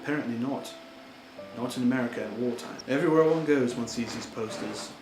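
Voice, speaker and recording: A man's voice: mid-range voice, fairly quick, music in the background, close to an everyday voice. A man acting an earnest, indignant German-born immigrant who pleads for fair treatment, in an amateur role-play monologue. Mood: pleading. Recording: echoey room with background noise.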